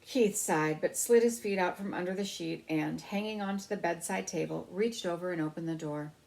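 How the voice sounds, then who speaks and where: A woman's voice: on the low side, normal speed, vocal fry, a little mellow, rough recording. A woman storyteller reading a children's novel aloud.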